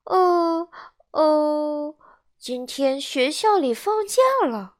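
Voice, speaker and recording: A woman's voice: high-pitched, animated, extremely slow, voice pushed up, exaggerated voice. A woman voicing a naive, earnest little wooden puppet boy in a children's storybook, stammering and pleading. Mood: nervous, evasive.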